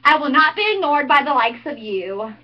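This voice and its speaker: A woman's voice: sits high, animated, moderate pace. A woman acting out a snobbish teen cheerleader who sarcastically mocks a killer, in an amateur monologue recorded dull and lo-fi. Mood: haughty. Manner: sarcastic, bratty put-on theatrics. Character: snobbish teen girl cheerleader, mocking and haughty.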